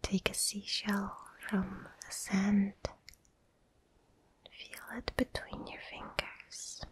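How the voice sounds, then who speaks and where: Very slow whispering woman, ASMR guide. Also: mid-range voice, completely flat delivery, breathy edge. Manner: soft whispering, soothing, unhurried with long pauses. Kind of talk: whispered ASMR guided visualization for relaxation and sleep.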